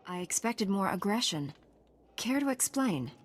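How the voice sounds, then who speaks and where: Composed, resolute knight. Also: female voice, medium pitch, big pitch swings. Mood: calm, resolute. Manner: measured, formal, assured. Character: composed, resolute female Jedi knight heroine. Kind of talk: video game acting.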